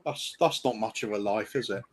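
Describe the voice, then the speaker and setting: A man's voice: fairly low pitch, brisk, crowd noise. A man co-hosting a podcast, hesitant and stumbling a little, with dry humor. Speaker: podcast co-host. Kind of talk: casual banter.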